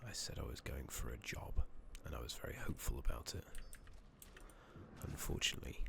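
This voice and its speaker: A soft-spoken man, partly whispering in an ASMR game playthrough style, speaking haltingly with long pauses into a close microphone. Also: extremely low pitch, gravelly. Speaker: game streamer, ASMR host. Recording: steady noise, keyboard typing and clicking.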